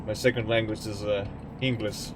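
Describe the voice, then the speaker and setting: A man's voice: fairly low pitch, flat delivery, normal speed, very noisy. A young man from Estonia with an Estonian accent, a streamer chatting casually and jokingly with his live chat. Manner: rambling and jokey, answering chat.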